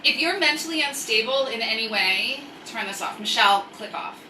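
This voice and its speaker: A woman's voice: on the high side, expressive, moderate pace. An American woman hosting a casual, chatty livestream story time, rambling with jokey asides and reading aloud. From United States. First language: English. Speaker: host of a live story-time stream. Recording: roomy echo, distant mic, faint noise.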